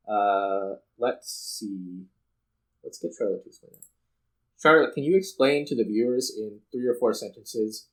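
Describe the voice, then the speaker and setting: Male game streamer, lowish voice, casual and a little hesitant, explaining while he plays. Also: poor audio. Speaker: video game streamer and host.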